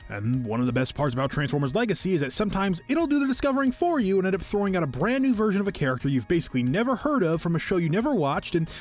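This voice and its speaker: A man narrating an enthusiastic scripted review as a video host, with a playful, whimsical and expressive delivery. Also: on the high side. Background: background music.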